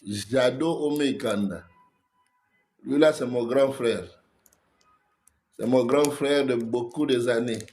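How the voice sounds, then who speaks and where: A man's voice: mid-range voice, takes it slowly, pauses often, a little mellow. A man with a Congolese French accent, a pastor with a cold, greeting viewers by name on a livestream before his sermon. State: has a cold, coughing.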